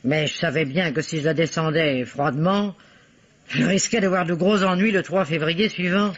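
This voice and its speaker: An actress playing a spiteful seamstress murderess, blunt and mocking, in a vintage French radio mystery. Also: female voice, low voice, normal speed. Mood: cold confession. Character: spiteful seamstress murderess, brazenly confessing her crimes. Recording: dull old radio drama recording.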